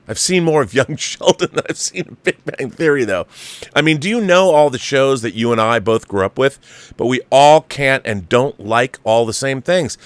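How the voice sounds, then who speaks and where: Older man, mid-pitched voice, conversational, emphatic, opinionated movie show host, dry close recording. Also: a bit bright, no echo. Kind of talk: reading viewer mail aloud with off-the-cuff commentary.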